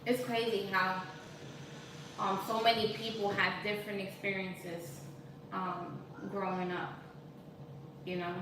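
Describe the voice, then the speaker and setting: A woman's voice: mid-range voice, flat delivery, slow, pauses often. A woman in her thirties hosting a cooking live stream from her home kitchen, chatting casually and reading viewer comments aloud. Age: 30s. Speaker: cooking channel host. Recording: echoey kitchen, distant mic, noisy.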